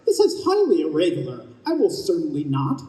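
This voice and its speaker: Man playing a huffy, animated afterlife escort. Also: fairly high pitch, brisk. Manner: huffy, officious. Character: huffy, officious male spirit escort to the afterlife. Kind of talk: old-time radio play acting. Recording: echoey live stage, long reverb.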